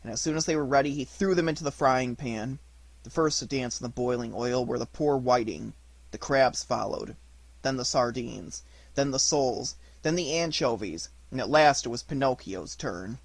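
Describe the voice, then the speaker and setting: A man's voice: medium pitch, normal speed, gravelly. A man reading a children's story aloud as a scripted audiobook narration.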